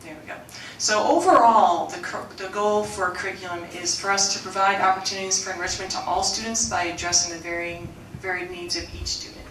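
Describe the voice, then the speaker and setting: A woman with a loud coach's voice, reining it in while she presents to a school board, earnestly and with many restarts. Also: lowish voice, fairly even intonation, a little fast, slight background noise. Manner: loud coach's voice, softened for the mic; earnest, with frequent restarts and fillers. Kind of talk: formal presentation at a board meeting. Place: school board meeting room. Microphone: room microphone. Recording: echoey room, mic at a distance.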